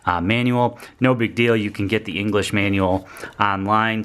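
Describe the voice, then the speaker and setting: Man, lowish voice, casual explanatory reviewer, plainspoken, informal demonstration. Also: fairly bright tone. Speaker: product reviewer.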